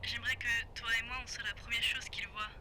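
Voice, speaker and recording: Actress, pregnant wife, piercingly bright voice, casual, teasing, affectionate, radio-line audio drama, faint noise. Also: female voice, a little high, flat delivery, fast. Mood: tender, pleading. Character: pregnant young wife, teasing, tender and sarcastic. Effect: private radio line. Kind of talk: naturalistic audio drama dialogue.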